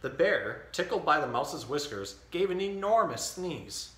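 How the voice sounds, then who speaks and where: A man in his thirties hosting a storytime, reading a children's picture book aloud with expressive, clearly enunciated delivery. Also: on the high side, measured pace, a little hiss or hum. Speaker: storytime host. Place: indoor tiled-floor room. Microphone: camera a few feet away. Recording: echoey room.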